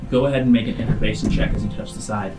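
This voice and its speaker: A man's voice: lowish voice, steady tone. Man narrating a tabletop game over faint music. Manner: halting, descriptive, broken into short phrases. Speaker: game master.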